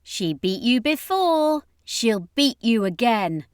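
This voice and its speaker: A woman's voice: fairly high pitch, big pitch swings, takes it slowly, clear, resonant tone, no room sound, studio quality, pitched above the performer's own voice. A woman voicing a defiant, scornful female character in a fantasy audiobook, emphatic and taunting.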